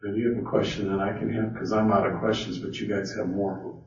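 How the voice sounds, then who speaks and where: A man's voice: fairly low pitch, little pitch movement, a little fast. Man teaching a Bible study in a roomy hall. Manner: conversational, thinks aloud with restarts. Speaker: Bible study speaker leading a question session. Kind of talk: informal teaching talk. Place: meeting hall with an audience. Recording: roomy, distant, dull sound.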